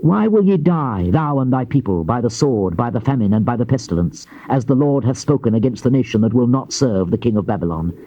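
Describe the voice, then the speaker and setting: A man narrates scripture as a solemn dramatic reading. His voice is mid-pitched and dark, with measured, oratorical emphasis. The recording sounds like a dull old cassette. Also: animated, no room sound.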